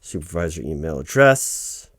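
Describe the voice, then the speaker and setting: A man playing a patient, officious human resources officer, haltingly reading out form fields in a one-sided ASMR roleplay. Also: a bass voice, very animated, fairly bright tone, dry recording, clean studio sound, full character voice. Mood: businesslike. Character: officious, patient male HR officer doing paperwork. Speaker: human resources interviewer filling out forms.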